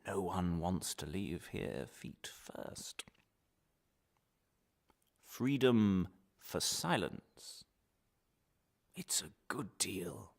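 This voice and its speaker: A man playing a smooth, falsely reassuring official interrogator in a radio drama, speaking with measured, hesitant pauses and veiled threats. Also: sits low, big pitch swings, heavily acted. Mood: quietly menacing.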